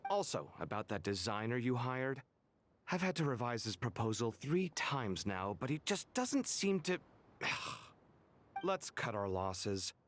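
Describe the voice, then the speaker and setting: A man voicing a principled, cultured young merchant lord in a video game, articulate and expressive. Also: medium pitch, slow. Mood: businesslike.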